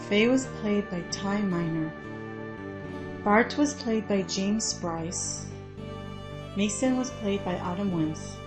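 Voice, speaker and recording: A woman reading scripted credits as the announcer of an audio drama. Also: medium pitch. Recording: prominent music behind the voice.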